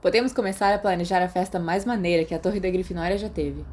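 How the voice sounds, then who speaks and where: A woman's voice: on the low side, quick pace, a little mellow, a little hiss or hum, lightly acted. A woman voicing a confident, sociable teenage schoolboy, an eager ringleader, in an audiobook with a casual, upbeat delivery.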